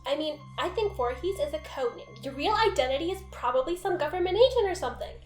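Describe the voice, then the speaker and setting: A woman's voice: high-pitched, lively intonation, quick pace, darkish tone, reverberant, soft background music. A giggly woman reading lines aloud in a joking amateur dramatic reading with friends.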